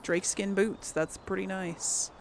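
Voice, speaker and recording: A woman's voice: mid-range voice, fairly even intonation, takes it slowly, vocal fry, a little hiss or hum. A woman hosting casual video game commentary, thinking aloud as she plays. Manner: thinks aloud while playing. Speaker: gaming host.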